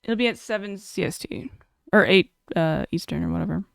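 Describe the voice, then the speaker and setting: A woman's voice: lowish voice, brisk, gravelly, dry recording, clean studio sound. A young woman streamer chatting casually, reading chat aloud and laughing often.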